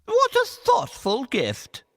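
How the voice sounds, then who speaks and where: A man's voice: extremely high pitch, wildly swooping pitch, slow pace, a bit bright, dry recording, studio quality, heavily acted. A man voicing a plump, gracious king in a children's story, grand and appreciative. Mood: delighted. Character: plump, food-loving old-fashioned king, pleased and gracious.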